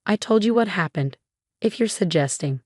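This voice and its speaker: A woman's voice: medium pitch, expressive, normal speed, a little mellow, breathy edge, dry recording, studio quality. A woman voicing a scheming, falsely sweet grandmother in a dramatic narrated story, cooing and self-righteous. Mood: defensive.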